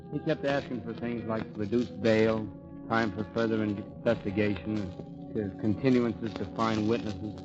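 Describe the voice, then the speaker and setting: A man's voice: fairly low pitch, close to an everyday voice. An actor playing an earnest, bewildered young man accused of murder, who also narrates, in 1940s radio drama style. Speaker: narrator and lead. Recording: dull old radio recording with music.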